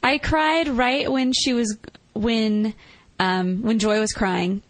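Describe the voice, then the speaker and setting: A woman's voice: on the high side, fairly even intonation, slow pace, dry recording. A woman co-hosting a podcast, discussing a film in a casual, reflective way. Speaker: podcast co-host.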